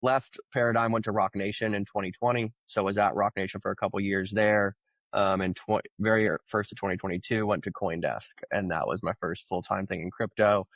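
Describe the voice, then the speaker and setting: Low-voiced man from Oklahoma telling his story. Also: fairly quick, vocal fry, dry recording. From Oklahoma, USA. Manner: relaxed, rambling storytelling with many fillers. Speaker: guest, crypto founder. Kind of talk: casual conversational interview.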